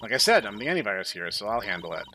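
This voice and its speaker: A man's voice: on the low side, sing-song, rapid-fire, sharp tone, pitched below the performer's own voice. A man voicing a proud, stubborn female antivirus program from a game, with a clipped, haughty manner. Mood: self-assured. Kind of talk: reading visual novel dialogue aloud.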